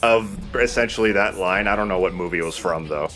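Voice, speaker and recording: A man's voice: sits low, a little fast, fairly bright tone, faint music behind. A man giving casual let's play commentary as the player, informal, sweary and self-deprecating.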